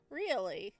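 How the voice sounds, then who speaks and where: Playful, higher-pitched woman streaming a game. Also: no echo. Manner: chatty, playful, reads lines aloud. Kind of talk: casual playthrough commentary. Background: game music.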